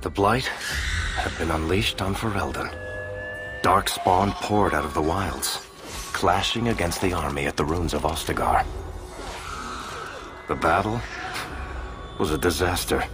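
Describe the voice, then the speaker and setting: A man's voice: low-pitched, measured pace, pauses often. An actor playing a wry male dwarf who tells a story under questioning, dry and sardonic. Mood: storytelling. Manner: dry, sardonic, laughs things off. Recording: game music behind.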